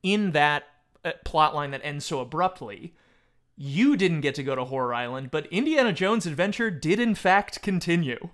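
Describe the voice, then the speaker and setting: A man speaking as a podcast panelist in a casual book discussion, retelling things in an animated way. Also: fairly high pitch, normal speed.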